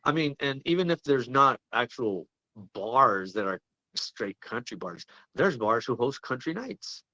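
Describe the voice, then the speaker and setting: A man's voice: medium pitch, big pitch swings, unhurried, sharp tone, dry recording, rough recording. A man who owns a record shop, talking as a guest in a casual interview, laid-back and advising.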